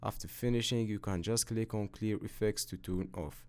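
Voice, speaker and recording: A man with a fairly low voice narrates a step-by-step computer tutorial. He speaks as the host, giving instructions in a matter-of-fact manner. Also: fairly even intonation, normal speed, a little mellow.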